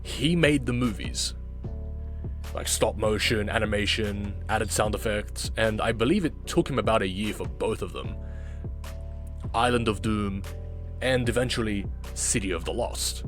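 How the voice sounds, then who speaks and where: A man hosting a casual reaction video as an amateur voice actor, talking in deliberate, halting phrases. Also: on the low side, fairly bright tone, soft background music.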